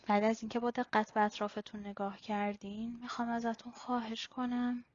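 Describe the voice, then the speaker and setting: A woman leading a guided body-scan meditation in a slow, instructional way with pauses. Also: fairly high pitch, level tone. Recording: slightly dull, lo-fi recording.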